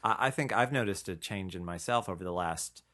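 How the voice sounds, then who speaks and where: A man's voice: sits low, fairly quick, fairly bright tone. A man co-hosting a podcast and chatting in a casual, conversational way. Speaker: podcast co-host.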